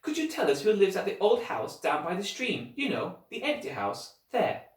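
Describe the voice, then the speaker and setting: A man's voice: high voice, huge pitch swings, moderate pace, pitched above the performer's own voice. A man voicing a polite, slightly hesitant young schoolboy in a storybook. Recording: roomy echo, distant microphone.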